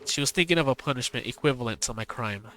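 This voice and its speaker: A male streamer reading a visual novel's dialogue aloud, his voice tired and strained, halting and repeating words between laughs. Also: medium pitch, animated, sharp tone, slight background noise. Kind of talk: reading game dialogue aloud, then casual chat.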